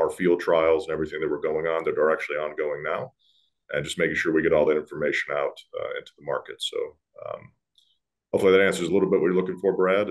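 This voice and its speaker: A man with an extremely deep voice talks as a company presenter. His delivery is informal and explanatory, as in an online question-and-answer session. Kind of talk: informal product explanation in a webinar.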